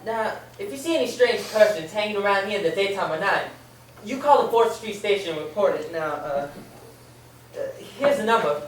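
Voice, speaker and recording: A woman playing a calm, polite policeman who questions a witness in a radio play, with probing, hesitant phrasing. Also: medium pitch, a little fast, lightly acted. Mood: reassuring, instructing. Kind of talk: amateur old-time radio play acting. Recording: echoey, distant, with hiss.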